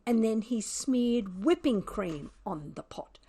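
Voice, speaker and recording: A woman's voice: fairly high pitch, lively intonation, takes it slowly, warm tone, breathy edge, full character voice. A woman reading a children's storybook as a smug, sardonic, mischievous pet cat, with dramatic, wry emphasis. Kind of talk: children's storybook read aloud.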